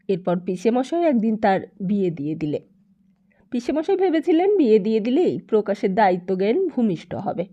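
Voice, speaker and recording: Lady reading an audiobook, really animated pitch, kinda muffled lo-fi recording. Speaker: audiobook narrator. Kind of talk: dramatic literary story narration.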